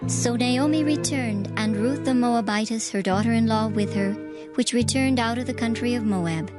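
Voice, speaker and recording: A woman narrator reading scripture as a scripted dramatized story, in a measured, solemn storytelling manner. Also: a little high. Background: music.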